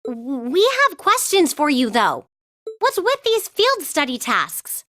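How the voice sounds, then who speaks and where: A woman's voice: high voice, wildly swooping pitch, slow pace, sharp tone, dry recording, studio quality, heavily acted. A woman voicing an exasperated, prim teenage girl student in a video game, flustered and scolding. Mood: flustered, indignant. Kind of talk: English video game voice acting.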